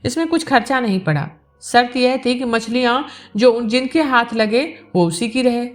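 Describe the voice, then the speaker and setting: A woman's voice: fairly high pitch, lively intonation, normal speed. A woman narrating a village novel aloud as a storyteller, reading from a script.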